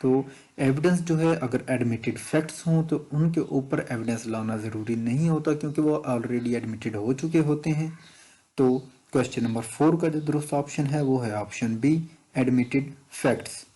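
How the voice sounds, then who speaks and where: A man's voice: medium pitch, moderate pace, mellow. A man with a Pakistani accent teaching as an exam tutor, methodically reading out questions and explaining answers, switching between two languages.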